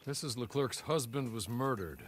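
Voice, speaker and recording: A man acts out a composed manservant of the Old West in a video game. He has a mid-pitched voice and a polite, understated, deferential way of speaking. Some outdoor noise sits behind him. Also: expressive, moderate pace, lightly acted. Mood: sober. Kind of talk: video game cutscene acting.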